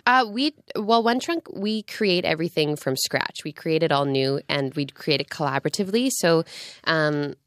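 A woman's voice: deep voice, expressive, normal speed, dry recording, clean studio sound. A woman, a theatre producer chatting as a radio interview guest.

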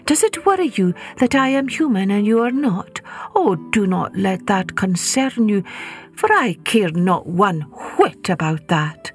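A woman's voice: mid-range voice, expressive, slow, soft background music. A woman voicing an earnest, devoted young mortal woman, speaking breathlessly and urgently. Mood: concerned.

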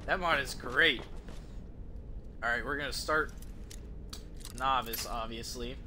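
Man, casual gaming commentary, foul-mouthed, unhurried pace, noisy game ambience behind. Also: mid-range voice. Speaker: gaming video host.

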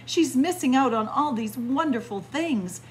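Woman voicing an earnest little wooden robot, animated pitch. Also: a little slow. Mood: regretful. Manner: earnest, emphatic, polite. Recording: clear background room noise.

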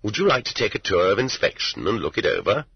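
Sneering interrogator colonel for audiobook, played by a male narrator: husky, sarcastic, condescending, muffled recording. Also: on the low side, big pitch swings, quick pace, full character voice. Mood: mocking. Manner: sarcastic, pointedly questioning. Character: mocking lieutenant colonel interrogator, amused and condescending. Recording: dull lo-fi roomy cassette audiobook.

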